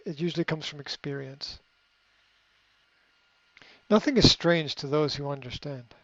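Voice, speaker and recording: A man speaking in a fairly high voice, coaching in an unscripted, reflective way. He pauses with fillers and restarts sentences as he thinks. The recording is dry and a little muffled. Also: no room sound. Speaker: coach answering a question. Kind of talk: unscripted advice talk.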